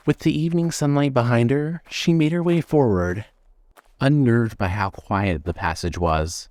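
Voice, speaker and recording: A man narrating a scripted suspense story in a measured, storytelling manner. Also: medium pitch, darkish tone, dry recording.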